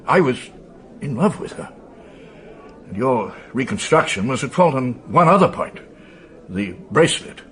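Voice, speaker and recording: An actor playing an apologetic, halting titled gentleman in a radio drama, with a genteel manner. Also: mid-range voice, very animated. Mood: agitated confession. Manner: hesitant, genteel.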